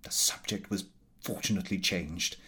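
A man reading a comic first-person diary aloud in an expressive, fussy storytelling manner. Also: low voice, moderate pace, breathy edge. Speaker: audiobook narrator.

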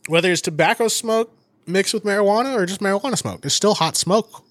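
A young man whose voice is high for a man, co-hosting a podcast in laid-back, crude, joking chat. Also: fairly quick, a bit bright, no room sound. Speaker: podcast co-host.

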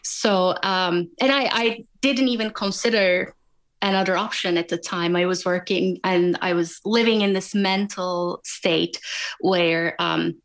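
A woman from Turkey with a Turkish accent, a podcast guest telling her story in a measured, reflective way. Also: fairly low pitch, steady tone. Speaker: guest, wound healer and coach. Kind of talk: interview answers.

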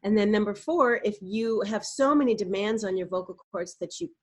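Animated woman voice coach explaining. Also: medium pitch, fairly quick. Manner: animated, explanatory, demonstrates vocal exercises. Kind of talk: podcast interview answer.

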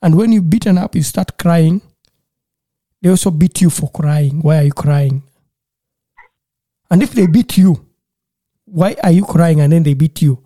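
A man from Uganda speaks in a casual interview, earnest and a little halting, often repeating words as he restarts. His voice is very dark and mellow. The sound is dry and close. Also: a little high, no room sound.

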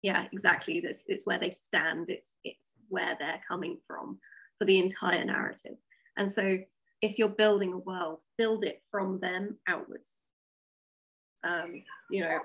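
A woman's voice: lowish voice, fairly bright tone, lo-fi sound. A woman interviewed as a writer, explaining her method conversationally, step by step, with rhetorical questions. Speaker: interview guest, audio drama writer.

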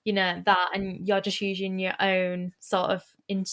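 Flat-toned woman host, thoughtful. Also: medium pitch. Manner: thoughtful, fills pauses with um and sort of. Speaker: interviewer, host. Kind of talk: conversational interview.

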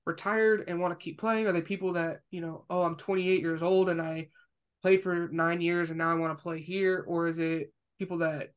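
A young man in his twenties hosts a casual podcast in a high, light voice. He asks curious questions and often stops and restarts them mid-sentence. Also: level tone, moderate pace, smooth. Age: 20s. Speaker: podcast host.

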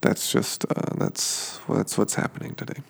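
A man with allergies, sounding sniffly, who hosts a sleep podcast and describes his surroundings in a slow, meandering, halting way full of fillers. He is recording at home in his kitchen.

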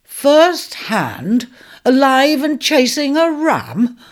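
A woman's voice: high voice, very animated, extremely slow, voice pushed up, heavily acted. A woman voicing a robust, hearty male centaur who speaks in a big, amused, open-minded way.

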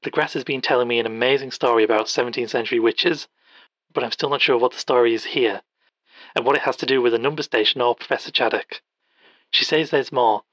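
A man's voice: mid-range voice, fast, fairly bright tone, dry recording, lightly acted. A man playing an earnest, informal field reporter leaving chatty phone messages in an audio drama. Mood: friendly, concerned. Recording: dull, dry, lo-fi phone message.

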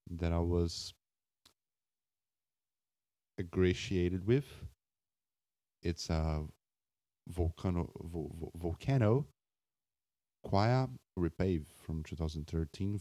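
A man hosting a solo podcast off the cuff in a raspy, just-woken voice, halting and searching for words with wry self-deprecation. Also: low-pitched, dark tone, no room sound. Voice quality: raspy morning voice. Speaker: podcast host.

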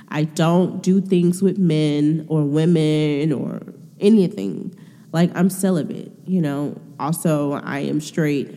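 A woman's voice: fairly low pitch, dark tone, big-room sound. A woman hosting a podcast from her room, talking casually about faith with a stuffy nose, rambling with lots of filler words. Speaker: podcast host. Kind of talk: casual faith talk monologue.

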